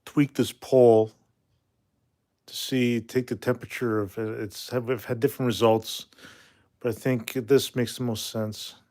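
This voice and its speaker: A man's voice: mid-range voice, flat delivery, unhurried, a little mellow. Man, forties, from Canada, casual livestream host, rambling, blunt, reads comments aloud. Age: 40s.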